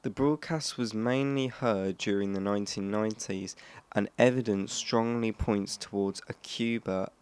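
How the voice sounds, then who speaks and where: A man's voice: on the low side, fairly even intonation, normal speed, darkish tone, clean tone. A man reading scripted narration as a host, stumbling over and restarting phrases now and then. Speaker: host of a shortwave radio history channel.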